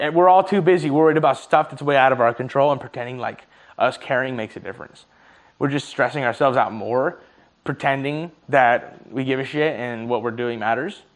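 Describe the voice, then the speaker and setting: Young man, early twenties, mid pitch, casual vlog monologue, thinking aloud, swears, slightly worn voice. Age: 20s. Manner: musing aloud, swears freely, laughs at own slips. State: voice starting to tire. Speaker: vlogger.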